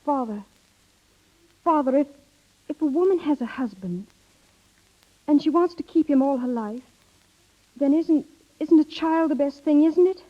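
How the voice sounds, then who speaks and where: A muffled old radio recording of a young wife with a very high voice. She is earnest, proud and anxious, delivering halting, emotional lines in 1930s drama style. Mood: troubled. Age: young adult.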